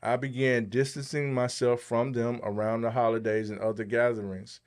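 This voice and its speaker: A man's voice: mid-range voice, little pitch movement, moderate pace. A man hosting a podcast, talking conversationally and giving advice. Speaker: podcast host.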